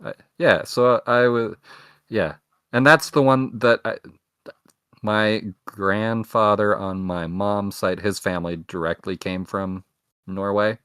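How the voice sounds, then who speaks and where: A man's voice: on the low side, steady tone, takes it slowly, a bit bright, smooth, no echo, clean studio sound. A young man co-hosting a podcast, rambling casually with self-mocking jokes. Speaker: podcast co-host. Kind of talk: casual chat.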